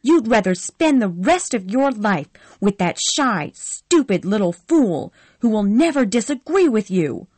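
A woman's voice: mid-range voice, animated, moderate pace, few pauses, breathy edge, dry recording, voice pushed up. A woman doing a fiery, furious young woman. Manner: sharp, furious, shouting. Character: fiery young woman, hot-tempered and proud. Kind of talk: audiobook character reading.

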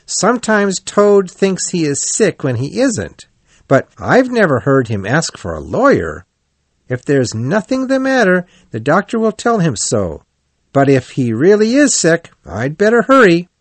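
Storybook rat, played by a male reader: male, high-pitched, brisk and practical, with lively swings in pitch. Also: dry recording, heavily acted. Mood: worried. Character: cheerful, brisk, concerned male water rat. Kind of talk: animal storybook character voice.

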